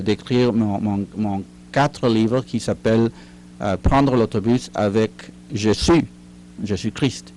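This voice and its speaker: An older retired man, a guest in a studio interview, answering slowly with many fillers and restarts. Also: fairly low pitch, darkish tone, slight background noise. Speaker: guest, retired teacher and writer. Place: recording studio.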